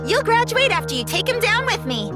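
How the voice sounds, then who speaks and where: A woman's voice: very high-pitched, sing-song, brisk. A woman playing a breezy, carefree young martial arts master in an anime-style game, teasing and exclamatory. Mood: breezy, encouraging. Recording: loud music behind.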